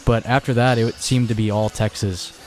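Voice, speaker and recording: A man's voice: medium pitch, fairly even intonation, normal speed, darkish tone, soft background music. A young man hosting college radio, chatting between songs and running a postgame show. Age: young adult. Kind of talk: radio DJ patter and postgame talk.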